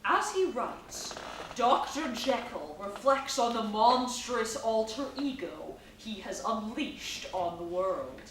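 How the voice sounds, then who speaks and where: Lady playing old radio horror show announcer, high theatrical voice, slow, echoey room. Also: very animated. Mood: ominous. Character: dramatic female announcer narrating a radio horror play. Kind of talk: 1940s radio acting. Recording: echoey hall, distant mic, faint noise.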